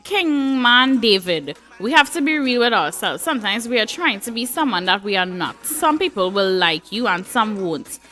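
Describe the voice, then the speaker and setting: A woman's voice: on the high side, big pitch swings, moderate pace, hardly any pauses, fairly bright tone, faint music behind. A woman hosting a live talk show, reading out viewer comments and reacting to them in a chatty way.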